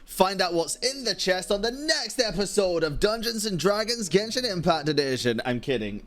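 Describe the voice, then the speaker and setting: A man's voice: high-pitched, very animated, normal speed, fairly bright tone. A man giving casual, slangy, sweary gaming commentary as a streamer. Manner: profane, slangy, exclamatory. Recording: game music behind.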